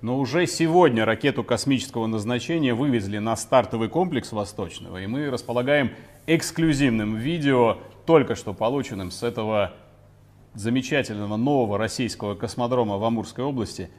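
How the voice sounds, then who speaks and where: Man in his forties, medium pitch, broadcast co-host, scripted presenting, studio lapel mic. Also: a little fast, darkish tone.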